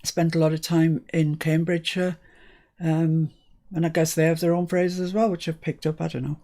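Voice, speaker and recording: A woman's voice: low voice, flat delivery, moderate pace, mellow. A woman born in Scotland hosting a live crafting stream, chatting casually and laughing often. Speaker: crafting channel host. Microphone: live-stream setup.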